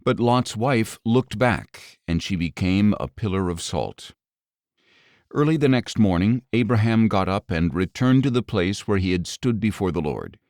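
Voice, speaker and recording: A man reading scripture as an audio Bible narrator, with measured, expressive storytelling. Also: fairly low pitch, no room sound, clean studio sound.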